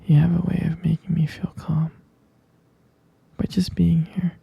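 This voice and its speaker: Male actor as soothing, devoted lover for intimate ASMR: breathy whisper, heavy vocal fry, gentle halting delivery. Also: fairly high pitch, level tone, no room sound, dull audio, heavily acted. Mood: adoring, dreamy. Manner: slow, halting, gentle murmuring with lingering pauses. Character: adoring, tender male romantic partner, soothing and devoted.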